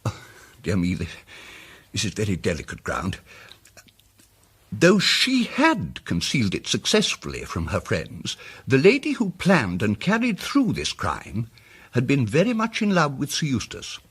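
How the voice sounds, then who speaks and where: A fussy muddled polite detective in a radio play, husky voice, really swoopy intonation. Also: male voice, medium pitch, huge pitch swings, measured pace, fairly bright tone, exaggerated voice. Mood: delicate, grave. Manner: hesitant, fussy, precise, old-fashioned politeness. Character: mild, muddled, apologetic male amateur detective explaining his deductions. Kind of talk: radio drama dialogue.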